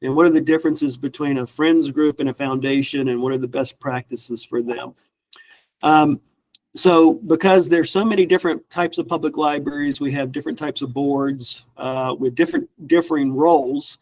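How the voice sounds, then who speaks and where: Casting: man in his fifties, stuffy and a little rough, fairly high voice, relaxed webinar presenter full of fillers. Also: moderate pace, low-quality recording. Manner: relaxed, many ums and uhs. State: stuffy, recovering from illness. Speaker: library director presenting training. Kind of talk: webinar lecture.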